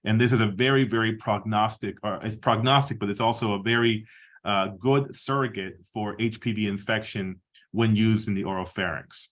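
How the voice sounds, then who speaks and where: A middle-aged man from Peru giving a measured webinar lecture as a surgeon, explaining slides with frequent restarts and fillers. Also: on the low side, fairly even intonation, fairly bright tone.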